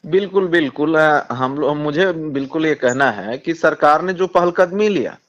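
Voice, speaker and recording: Higher-pitched Bihari man, halting and insistent. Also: expressive, a bit bright. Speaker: communist party politician. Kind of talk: political phone interview. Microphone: phone call. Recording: dull lo-fi phone call recording.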